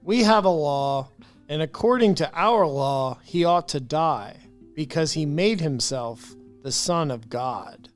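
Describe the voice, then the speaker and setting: A woman's voice: deep voice, expressive, takes it slowly, faint music behind, pitched below the performer's own voice. A woman voicing an angry accusing crowd. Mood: clamoring.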